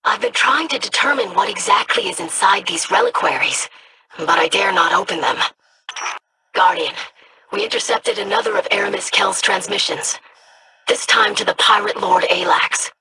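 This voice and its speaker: A performer voicing a scholarly researcher of ancient relics in a video game, speaking in a measured, formal, analytical way. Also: medium pitch, background noise, close to an everyday voice. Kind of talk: video game character lines.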